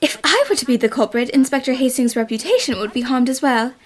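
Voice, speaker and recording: A woman voicing a confident, cheeky young female detective in an anime fan dub, brisk, smug and theatrical, with music underneath. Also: high-pitched. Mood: confident, accusing.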